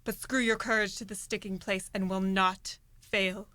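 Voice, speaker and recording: A young woman plays an ambitious, merciless noblewoman in a Shakespeare radio drama. The voice is bright, hard-edged and mid-pitched, declaiming verse with emphasis. The recording is dry. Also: steady tone, normal speed, no room sound, lightly acted. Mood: resolute, persuasive. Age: young adult. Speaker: student actor. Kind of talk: amateur Shakespearean radio play acting.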